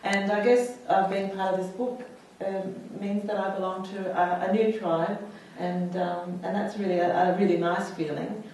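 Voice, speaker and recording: A woman's voice: medium pitch, fairly even intonation, moderate pace, few pauses, a little mellow, slight background noise. A woman in her forties, born in the United States, telling her personal story as a panel speaker, with many fillers and restarts. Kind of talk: personal testimony at a public talk. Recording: echoey hall, mic far off.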